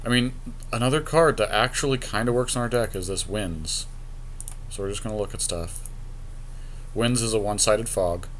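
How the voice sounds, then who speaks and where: A man's voice: fairly low pitch, moderate pace, pauses often, a bit bright, a little hiss or hum. A man casually commentating as a podcast co-host while playing a card game, with dry self-mocking humor and casual swearing. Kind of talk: casual gaming commentary, chatting while drafting cards.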